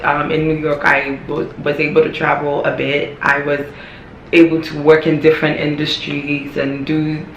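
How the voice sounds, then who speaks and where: A young woman with a hoarse, worn voice, chatting candidly to her vlog viewers and repeating phrases for emphasis. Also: low voice, a little hiss or hum. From Ghana. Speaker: vlogger. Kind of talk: casual talking-to-camera vlog. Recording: echoey room.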